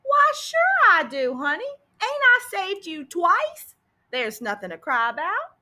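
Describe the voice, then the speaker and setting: Woman putting on a folksy, high-pitched, boastful cowboy sheriff. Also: wildly swooping pitch, slow, sharp tone, full character voice. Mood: exasperated, fond. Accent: American. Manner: drawling Old West dialect, exaggerated storytelling. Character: folksy, boastful, dim-witted cowboy sheriff telling his tale.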